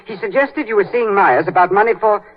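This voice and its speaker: An actor playing an earnest, flustered young English gentleman aide in a 1930s radio drama, deferential and excitable. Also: high-pitched, a little fast, slight background noise. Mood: indignant. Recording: muffled 1930s radio broadcast recording.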